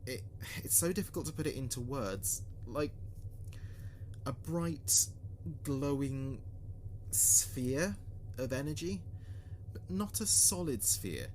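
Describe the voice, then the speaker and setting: A man playing an earnest, uneasy spaceship communications officer, recording a halting, deliberate mission log in a sci-fi audio drama. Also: medium pitch, expressive, a little mellow, poor audio. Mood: awed, unsettled. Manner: halting, deliberate, pausing mid-sentence. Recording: clear background noise and ambience.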